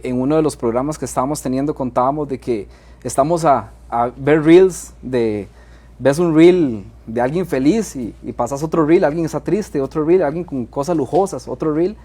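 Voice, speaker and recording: Advisor guy, dark husky voice, kinda hesitant. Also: a little high, normal speed. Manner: thoughtful, hesitant starts. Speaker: financial advisor guest. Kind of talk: interview answers.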